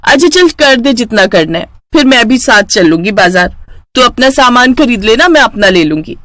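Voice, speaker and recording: Woman acting a haughty, high-voiced bossy rich sister. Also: animated, normal speed, fairly bright tone, dry recording, clean studio sound. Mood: boastful, haughty. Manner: boastful, ordering, dismissive.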